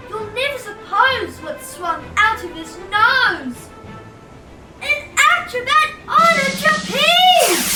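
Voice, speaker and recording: A woman reading a children's storybook aloud as host, in a theatrical, exclamatory way with drawn-out emphasis. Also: very high voice, recorded from a distance. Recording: roomy echo, off-mic, music bed.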